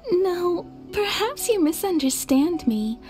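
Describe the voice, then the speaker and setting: An actress playing a bubbly, good-natured townswoman in a video game, telling stories in an amused, expressive way. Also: extremely high pitch, very slow, faint music behind, heavily acted.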